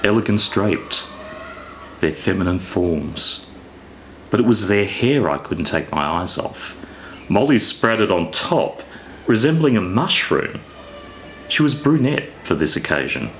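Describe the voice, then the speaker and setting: A man reading his own fiction aloud as an author, in a theatrical, deliberate storytelling manner. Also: sits low, very animated, a bit bright, a little hiss or hum, rough recording. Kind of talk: expressive scripted story reading.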